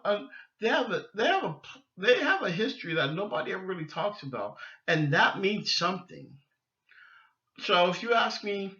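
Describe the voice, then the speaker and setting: A middle-aged man hosting a sports podcast solo, giving opinions emphatically and haltingly, repeating phrases as he goes. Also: high voice, bright, forceful tone, lo-fi sound.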